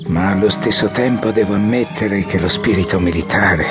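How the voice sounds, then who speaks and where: An actor playing a weary, embittered, ailing general in an Italian audio drama, theatrical, resigned and testily commanding. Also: male voice, deep voice, moderate pace, music in the background, close to an everyday voice. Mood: weary, bitter.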